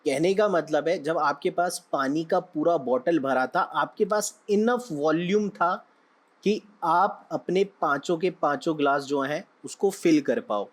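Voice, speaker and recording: A young man, slightly hoarse, teaching a trading lesson in a conversational way, often checking in with his listeners. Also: a little high. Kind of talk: explaining lesson with analogies.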